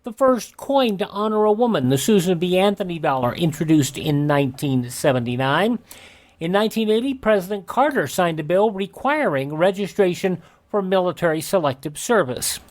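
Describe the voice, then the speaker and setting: A man's voice: sits high, moderate pace. An older American man hosting a radio show, reading almanac items and dropping in informal asides.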